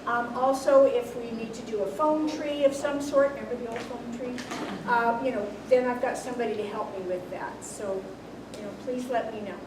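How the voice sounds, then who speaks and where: A woman in her sixties, a retired teacher, speaking loudly and slowly as a committee head at a club meeting. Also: on the high side, fairly even intonation. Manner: slow, loud-voiced, speaks without a microphone. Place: meeting hall. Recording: echoey hall, voice from far off, background noise.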